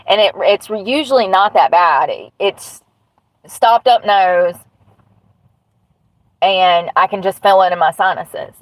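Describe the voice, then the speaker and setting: A woman's voice: fairly low pitch, no echo, poor audio. A woman with a stuffy nose, rambling bluntly and swearing casually as she hosts a live stream from inside her truck. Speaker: livestream host. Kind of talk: casual livestream chat.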